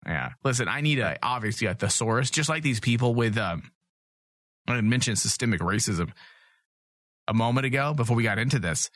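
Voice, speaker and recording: A man co-hosting a podcast, going hoarse, ranting sarcastically and swearing freely in crude comedic banter. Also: medium pitch, lively intonation, brisk, fairly bright tone, no room sound, studio quality. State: losing his voice. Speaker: podcast co-host.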